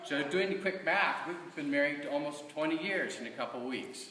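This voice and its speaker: Middle-aged man, American accent, sharp bright voice, informal church testimony, halting delivery, distant mic. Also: a little high, reverberant, big-room sound, a little hiss or hum. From Kansas, United States. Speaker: church member giving a stewardship talk. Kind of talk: informal personal testimony to a congregation. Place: large reverberant room, speaking from off the mic.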